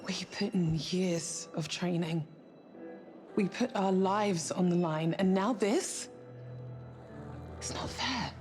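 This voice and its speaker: An actress playing a troubled, conscientious space crew member in a sci-fi game, speaking earnestly and hesitantly. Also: female voice, fairly low pitch, slow pace, long pauses, darkish tone. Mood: aggrieved. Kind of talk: cinematic sci-fi game acting. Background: faint cinematic music.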